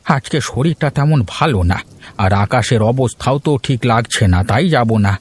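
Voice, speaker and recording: A man's voice: mid-range voice, moderate pace, breathy edge, natural voice. A man voicing a weary, pleading old village fisherman in a cartoon moral story. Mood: weary, placating. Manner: pleading, reluctant.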